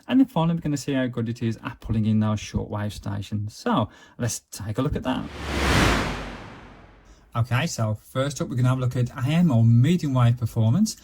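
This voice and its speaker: A man's voice: medium pitch, vocal fry, warm tone, a little hiss or hum. A man giving a casual, methodical product walkthrough as a gadget reviewer. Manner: methodical, explanatory.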